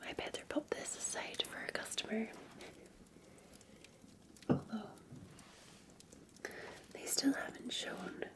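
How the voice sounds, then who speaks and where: Whispering Scottish woman, slow and soothing. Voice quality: breathy, whispered. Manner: gentle, soothing, unhurried. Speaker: ASMR performer playing a café barista. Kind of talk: soft-spoken ASMR roleplay.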